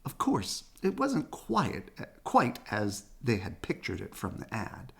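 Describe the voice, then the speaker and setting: Slow, animated storybook reader. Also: medium pitch, creaky, slightly rough. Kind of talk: expressive children's read-aloud narration.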